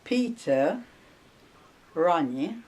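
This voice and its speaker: A woman's voice: lowish voice, very animated, drawn-out words, warm tone, slight background noise, rough recording. Matter-of-fact older woman. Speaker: interviewee. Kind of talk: oral history recollection.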